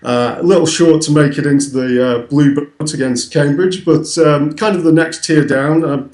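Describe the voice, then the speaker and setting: A man's voice: fairly high pitch, moderate pace, a little mellow, echoey room. A young man with a Northern English accent, explaining his website in a casual, hesitant interview full of ums. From north of England. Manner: hesitant, many ums and restarts. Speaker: guest, website co-founder.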